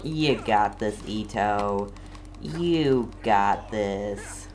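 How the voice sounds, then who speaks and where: A streamer giving casual gameplay commentary in a hesitant, low-key way, talking back to the game's characters. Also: extremely slow, a little mellow. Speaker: game streamer.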